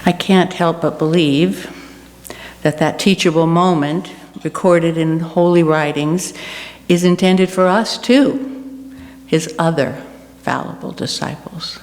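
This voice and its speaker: A woman giving an earnest, deliberate personal reflection to a church congregation. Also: on the low side, fairly even intonation, a little mellow. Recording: echoey hall with clear background noise.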